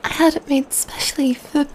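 A woman playing a gentle, doting female vampire in a soft-spoken, tender ASMR roleplay. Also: high voice, fairly even intonation, slight background noise. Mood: excited, affectionate. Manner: tender, slow, hushed, softly giggling delivery. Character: gentle, doting female vampire pampering her adopted catgirl lover.

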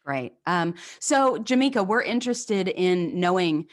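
A woman's voice: lowish voice, expressive, measured pace. A woman interviewer putting questions to a guest in a conversational style.

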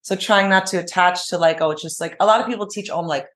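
A woman with a raspy voice, talking casually and eagerly about teaching yoga on a podcast. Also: lowish voice, quick pace, a bit bright. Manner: enthusiastic, many "like" fillers.

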